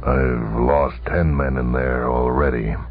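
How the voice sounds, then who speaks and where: A man's voice: very deep voice, fairly even intonation, slow pace. A man voicing a middle-aged general, blunt, impatient and resigned, in a radio story. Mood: cold resignation. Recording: tinny vintage radio broadcast, music behind.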